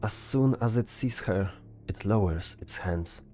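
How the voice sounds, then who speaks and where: A man's voice: on the low side, unhurried, mellow. A man reading scripted narration for a fantasy story as its narrator. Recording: music behind the voice. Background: music.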